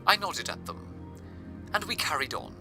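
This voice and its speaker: A man's voice: fairly high pitch, sing-song, moderate pace, over music. A man playing an awestruck, devoted radio broadcaster, narrating in an earnest, reverent, descriptive way. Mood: wistful, descriptive. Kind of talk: scripted first-person radio narration.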